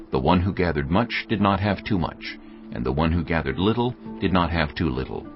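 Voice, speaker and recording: A very low, gravelly man narrates a scripture drama. His reading is animated in pitch and clearly scripted. Faint music plays under slightly dull audio.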